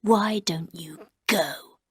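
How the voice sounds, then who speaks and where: A woman's voice: expressive, no room sound, studio quality. A woman doing a menacing, bitter housekeeper. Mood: cold menace. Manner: slow, low, cold, often whispered.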